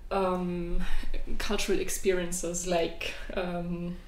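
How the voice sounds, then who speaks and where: A woman interviewer asking questions in an unhurried, friendly way. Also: on the low side, monotone, far from the mic, slight background noise. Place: small colorful lounge indoors. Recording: echoey room, mic set apart.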